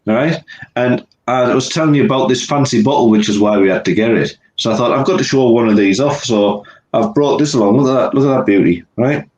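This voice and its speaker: A man's voice: medium pitch, a little fast, a little mellow, rough recording. A man with a head cold, chatting casually and laughing easily as co-host over a video call. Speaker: podcast co-host.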